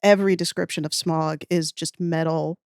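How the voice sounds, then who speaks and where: A woman's voice: sits low, steady tone, normal speed, a little mellow, no echo, studio quality. A woman chatting casually as a podcast guest, reminiscing in an enthusiastic, rambling, self-deprecating way. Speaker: podcast guest, audio fiction writer.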